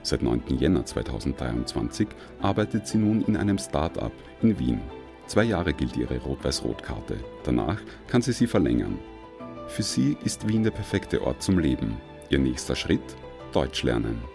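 Man, Austrian accent, documentary narrator, measured read, very deep, lively pitch, music bed underneath. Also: vocal fry. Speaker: report narrator.

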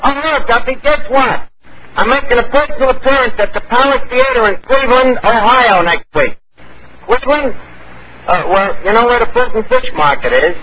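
An actor playing a bumbling, excitable tavern manager with mangled grammar in a 1940s radio comedy. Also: male voice, extremely high pitch, normal speed, lo-fi sound. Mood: excited, boastful. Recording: very noisy old radio recording.

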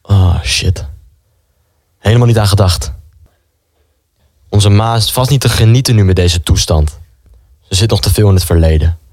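An actor playing an excitable, defiant young brother in a wartime radio drama, pushy and quick to complain. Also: male voice, on the low side, no echo, clean studio sound, close to an everyday voice. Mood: flippant. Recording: music under the voice.

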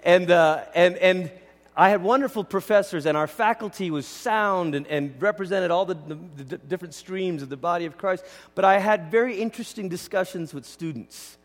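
Male pastor, from Malaysia, high voice, conversational teaching sermon, big reverberant hall. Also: moderate pace. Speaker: pastor preaching. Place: large indoor church hall.

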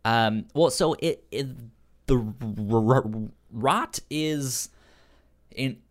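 A man with a stutter, explaining things in a halting way in a casual book discussion as a podcast co-host. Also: medium pitch. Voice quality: stutter, repeated sounds and syllables.

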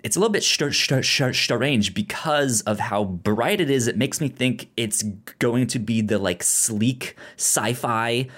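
A man co-hosting a podcast in casual film discussion, halting and often stumbling over or repeating his words. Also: medium pitch, fairly bright tone. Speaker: podcast co-host.

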